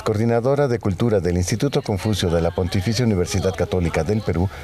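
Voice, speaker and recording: A man narrates a news report with a very low, mellow voice. His delivery is measured and even, in steady scripted phrasing.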